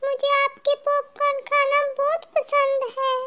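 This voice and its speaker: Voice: extremely high pitch, monotone, a little slow, sharp tone, full character voice. A performer voicing an eager, sweet little girl bird in a children's cartoon, childlike and polite. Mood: eager, admiring. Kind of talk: children's cartoon story acting.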